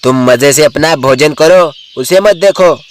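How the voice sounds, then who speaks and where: A man's voice: a little high, unhurried, lightly acted. A man voicing a cautious bear, a loyal friend in an animal story, giving matter-of-fact advice. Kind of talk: Hindi animal storybook voice acting.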